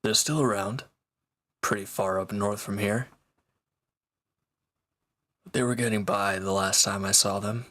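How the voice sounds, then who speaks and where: A man acts out a sardonic former villain in an intimate audio drama. His voice is fairly deep with a bright, forceful edge. He talks slowly and casually, teasing his listener with dry remarks. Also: fairly even intonation. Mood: dry, matter-of-fact. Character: sardonic, teasing reformed male villain recovering from wounds. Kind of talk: intimate roleplay audio drama, one-sided dialogue.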